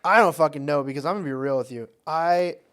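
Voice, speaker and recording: A man's voice: a little high, fast talker, a bit bright, clear, resonant tone. A man with an American accent hosting a casual podcast, talking in a blunt, informal, sweary way. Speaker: podcast host.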